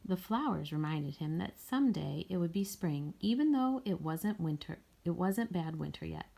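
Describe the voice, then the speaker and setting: Woman reading a storybook out loud, lowish mellow voice, bit of fry. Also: expressive, normal speed, a little hiss or hum. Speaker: story reader. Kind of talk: scripted storybook narration, read aloud.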